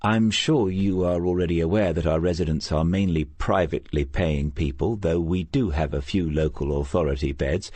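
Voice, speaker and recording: Man reading as care home manageress, courteous, guarded, formal, careful, low-pitched, dry audiobook sound. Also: moderate pace, few pauses, a little mellow, no room sound.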